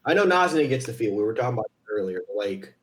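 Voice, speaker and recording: A man's voice: lowish voice, big pitch swings, fast. A man chatting casually in a rambling, self-deprecating way, like an amateur voice actor before a stream. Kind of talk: casual chat before a livestream. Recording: roomy, echoey home recording.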